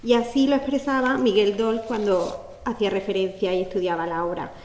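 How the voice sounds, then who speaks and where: A woman's voice: medium pitch, fairly even intonation, brisk, a little mellow. A woman with an Andalusian Spanish accent presenting a poetry book in an explanatory, academic manner, with many fillers. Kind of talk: academic talk at a book launch. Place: lecture hall. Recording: echoey hall, faint noise.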